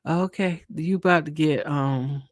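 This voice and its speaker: A man's voice: fairly high pitch, a little slow, no echo, clean studio sound. Congested man hosting a live stream. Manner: casual, chatty, thinks aloud with fillers. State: congested, nasal. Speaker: livestream host. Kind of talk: live commentary on a court trial.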